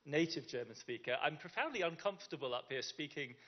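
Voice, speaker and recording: A man's voice: fairly high pitch, expressive, fast. A man giving a conference talk in a chatty, self-deprecating way, with frequent restarts. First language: English. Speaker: conference speaker. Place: conference in Germany. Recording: dull lo-fi sound.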